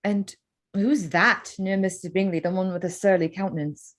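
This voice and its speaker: An actress playing a witty, proud young gentlewoman with an arch, spirited manner in a period staged reading. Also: mid-range voice, steady tone, normal speed, natural voice. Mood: curious.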